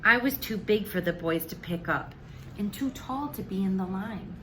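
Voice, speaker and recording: A woman's voice: medium pitch, expressive, moderate pace, a bit bright, slight background noise, low-quality recording. A woman reading a children's storybook aloud expressively, with dramatic, emphatic phrasing.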